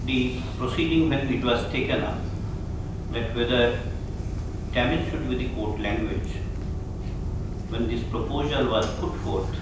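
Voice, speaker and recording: A man from India giving an emphatic formal speech full of rhetorical questions. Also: mid-range voice. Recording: echoey hall, far mic, hum.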